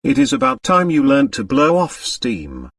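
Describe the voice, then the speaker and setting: A man voicing a wry, detached young upper-class woman with a slow drawl in an audiobook. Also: medium pitch, wildly swooping pitch, gravelly, a bit bright, dry recording, studio quality, distant mic, heavily acted.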